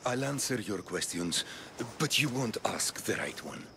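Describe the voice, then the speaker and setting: A man playing a gruff, guilt-haunted collector in a video game, speaking in a brooding, clipped, cryptic way. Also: on the low side, lively intonation, measured pace, background noise. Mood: guarded. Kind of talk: video game cutscene acting.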